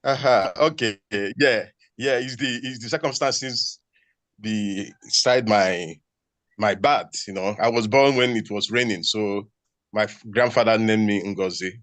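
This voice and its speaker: A man's voice: fairly low pitch, unhurried, fairly bright tone, dry recording. A man from Nigeria, a university researcher asking a panel a question, speaking hesitantly. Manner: hesitant, many fillers and restarts. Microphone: video call.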